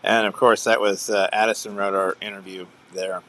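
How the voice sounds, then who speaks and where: Rambling male stream host, low voice. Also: level tone, normal speed, a bit bright. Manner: rambling, chuckling, self-interrupting. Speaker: show host. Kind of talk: casual live-stream chat.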